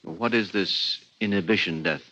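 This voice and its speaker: A guarded, businesslike police investigator, with a fairly low voice. He talks in a blunt, clipped way in the style of 1950s radio acting. The vintage recording sounds dull. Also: moderate pace, bright, forceful tone, a little hiss or hum. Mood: skeptical.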